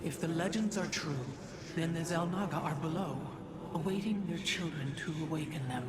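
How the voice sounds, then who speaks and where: A man's voice: on the high side, moderate pace, background noise, lightly acted. A man voicing a mystical alien keeper of ancient lore in a video game, solemn, reverent and formal. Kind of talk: video game cinematic dialogue. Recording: orchestral score behind the voice.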